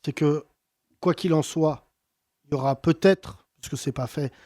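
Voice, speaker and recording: A man hosting a heated political debate, talking with passion and emphasis and wide swings in pitch. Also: measured pace, warm tone, no echo, studio quality. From France. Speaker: host.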